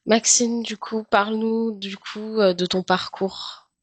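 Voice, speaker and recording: A woman hosting an interview, passing the word to each guest with short prompts and quick acknowledgements. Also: on the high side, steady tone, no echo. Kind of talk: casual interview chat.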